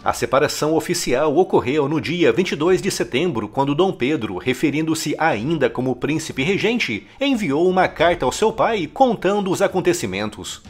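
A man narrates a scripted history documentary with a husky, slightly raised voice. His delivery is emphatic and swings widely in pitch, with soft music faintly behind him. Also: sing-song, moderate pace, few pauses.

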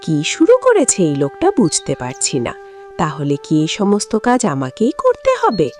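A woman's voice: medium pitch, wildly swooping pitch, moderate pace, darkish tone, soft background music. A woman playing a vain, lazy, bossy village wife in a cartoon, scolding and whining as she demands things. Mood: exasperated, complaining. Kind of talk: exaggerated cartoon dialogue.

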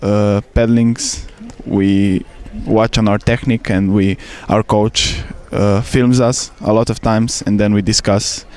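A man's voice: on the low side, little pitch movement, measured pace, few pauses, a little mellow, clear, resonant tone. A young man from Slovenia, speaking English as a second language, answering interview questions outdoors into a handheld mic with some fillers and restarts. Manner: careful non-native English with fillers and restarts. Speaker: canoe sprint athlete. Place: outdoors by a regatta course.

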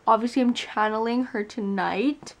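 A woman's voice: a little high, normal speed. A young woman chatting casually to camera from her bed, giggly and rambling, filmed at arm's length. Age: young adult. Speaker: vlogger. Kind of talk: casual vlog chat. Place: bedroom, lying in bed.

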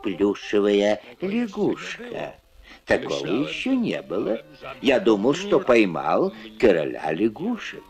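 A man's voice: lowish voice, wildly swooping pitch, slow, exaggerated voice. A man voicing a stern, grumbling but grudgingly helpful adult stork in a dubbed puppet cartoon. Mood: annoyed. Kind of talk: dubbed puppet animation dialogue. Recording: old film soundtrack with loud music.

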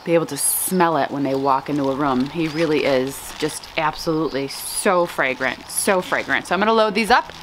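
A woman in her thirties chatting casually to camera as a vlog host, with an expressive, gushing and playful manner. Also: low-pitched, normal speed, few pauses, a little hiss or hum, rough recording. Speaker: flower farmer and vlog host. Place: outdoors on a covered house porch.

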